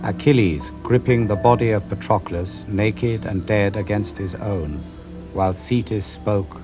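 An older man reading epic poetry aloud in a slow, grave, declamatory manner, with faint music behind. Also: on the low side.

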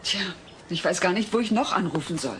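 A woman's voice: lowish voice, expressive, fairly quick, close to an everyday voice. An actress playing an earnest, no-nonsense middle-aged mother in a dubbed sitcom audio play. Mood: worried. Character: worried, no-nonsense suburban mother. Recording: noisy old cassette audio.

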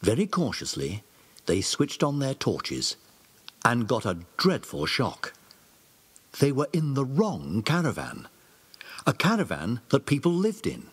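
Measured storybook narrator, man, husky, very lively delivery. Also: medium pitch.

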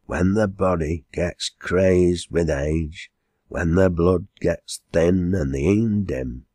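A plain-spoken Northern English landlady from a storybook, acted by a male reader. She is bossy and tender-hearted by turns. Her speech is unhurried and homely, with little room sound. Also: low voice, warm tone, no echo, studio quality. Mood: pitying.

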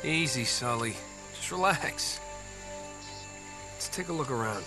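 A man's voice: mid-range voice, expressive, unhurried, over music, natural voice. An actor voicing a wry young male adventurer who teases his partner in a video game cutscene. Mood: calm. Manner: dry, teasing.

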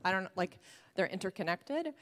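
A woman's voice: mid-range voice, expressive, moderate pace. Chatty woman in a reverberant hall. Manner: chatty, many "like" fillers, thinking aloud. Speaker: co-host asking questions. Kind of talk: conversational stage discussion. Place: church stage, large hall. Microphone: handheld mic.

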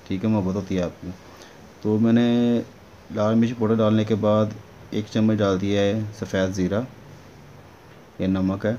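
Low, flat-voiced man explaining a recipe. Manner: instructional, repeatedly checks in with the listener. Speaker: home cooking channel host. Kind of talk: casual recipe voice-over. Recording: dull lo-fi sound with clear noise.

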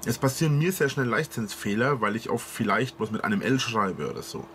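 A man with a southern German dialect explaining himself earnestly to camera, halting with fillers. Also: mid-range voice, lively intonation, fairly bright tone, slight background noise. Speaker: video creator explaining himself. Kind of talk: monologue to camera.

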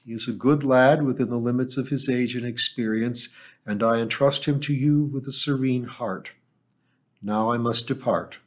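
A man's voice: mid-range voice, steady tone, normal speed, natural voice. A male audiobook narrator voicing a polite, earnest teenage boy, who also recites a solemn memorized message. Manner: respectful, earnest.

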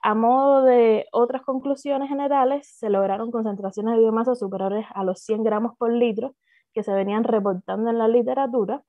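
A woman's voice: on the high side, steady tone, moderate pace, dry recording. A woman in her thirties with a Cuban accent, presenting her doctoral thesis in a hesitant academic talk full of fillers and restarts, over a video call. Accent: Cuban Spanish. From Cuba. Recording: dry, phone-like video call audio.

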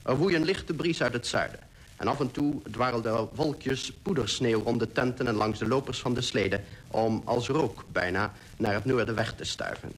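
A man's voice: mid-range voice, moderate pace, natural voice. A man playing a polar explorer who recounts his expedition in literary, descriptive radio-drama narration. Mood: vivid, descriptive. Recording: faintly noisy old radio recording.

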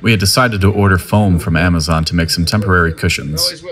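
Low-voiced man narrating a sailing vlog. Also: fairly quick, a bit bright. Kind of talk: scripted voice-over narration. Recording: voice-over with music behind.

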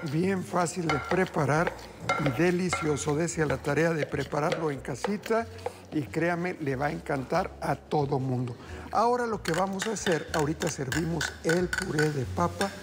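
A middle-aged TV chef explains each step of his recipe in lively, friendly patter. His voice sits a little high for a man. Studio music plays steadily underneath. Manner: warm upbeat patter, addresses viewers as family. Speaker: TV chef host. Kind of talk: live cooking demonstration. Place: TV studio kitchen.

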